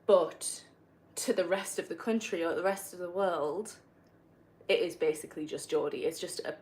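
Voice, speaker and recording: A young woman from northeast England with a soft northeastern accent, chatting casually on a livestream while gaming, rambling with many fillers and self-corrections. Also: on the low side, normal speed. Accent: northeast English, softened. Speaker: livestreamer answering viewer questions. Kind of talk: casual live-stream chat while gaming.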